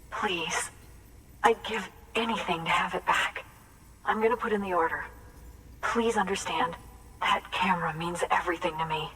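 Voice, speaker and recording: A woman's voice: medium pitch, fairly bright tone, far from the mic, a little hiss or hum, lightly acted, low-quality recording. An actress playing an earnest, anxious photographer who carries on her late father's work, in naturalistic video game acting. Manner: earnest, hesitant, heartfelt storytelling.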